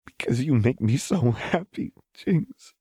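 A man voicing an earnest, tender young woman soldier in audiobook dialogue, with a soft, breathy voice and deep devotion. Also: expressive, measured pace, no echo, studio quality. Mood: tearful, adoring.

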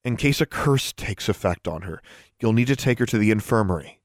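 A man's voice: fairly low pitch, lively intonation, a little fast, no echo, clean studio sound, natural voice. A man voicing a bold, confrontational teenage girl in an audiobook story, blunt and firm in the way of a leader.

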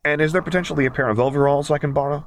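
A man voicing a wry, warm, stubborn young male engineer in an audiobook, casual and sardonic. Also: fairly high pitch, steady tone, racing, slight background noise, voice pushed up, close to an everyday voice. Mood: brisk, resigned. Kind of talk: audiobook character voice.

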